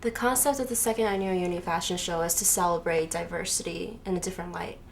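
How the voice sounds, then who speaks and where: Young woman, from Taiwan, lowish voice, explanatory interview guest, noisy background. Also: steady tone, fast. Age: young adult. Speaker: interview guest, student fashion designer. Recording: noisy room, clear background noise.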